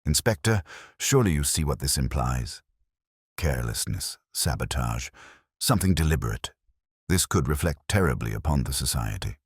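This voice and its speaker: Man reading a fussy society woman, deep and husky. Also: sing-song, moderate pace, no room sound, studio quality, full character voice. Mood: indignant. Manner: insistent, pompous. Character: fussy, indignant society woman in a village mystery.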